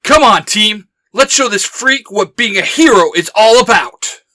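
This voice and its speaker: A man's voice: high voice, expressive, unhurried, pushed and bright, full character voice. A man playing a cocky, mocking young superhero leader in a dramatized audio story, brash and defiant. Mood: rallying.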